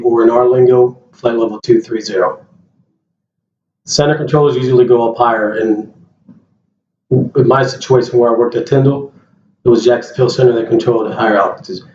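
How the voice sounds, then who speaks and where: A man's voice: medium pitch, darkish tone. A man giving a plain-spoken informational presentation as an air traffic controller, addressing his listeners directly. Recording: roomy, dull lo-fi sound, faint noise.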